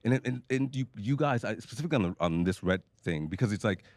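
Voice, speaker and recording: A man with a deep voice on a discussion panel, speaking assertively and pulling the conversation back to the topic. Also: animated, darkish tone, no room sound. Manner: assertive, quick, redirects the topic. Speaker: panel debate guest.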